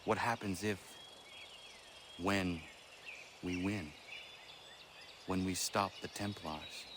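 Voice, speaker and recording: A burdened young warrior with a deep voice. He speaks earnestly and stiffly, grieving but stoic, in game-drama style, with clear outdoor birdsong and ambience. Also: steady tone, slow, noisy background. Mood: sombre, reflective.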